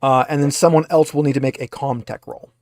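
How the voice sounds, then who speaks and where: A man's voice: medium pitch, steady tone, brisk, a little mellow, no echo, studio quality. A man speaking as a game master, explaining rules and narrating in a casual, conversational way.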